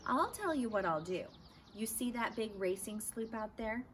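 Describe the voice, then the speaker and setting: A woman's voice: a little high, lively intonation, moderate pace, a bit bright, pitched above the performer's own voice. A woman voicing a blustery, proud grown man who owns a toy schooner, in an emphatic, bossy way, in a children's storybook. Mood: wary, firm. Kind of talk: children's storybook read-aloud. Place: outdoors in a backyard garden. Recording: outdoor ambient noise.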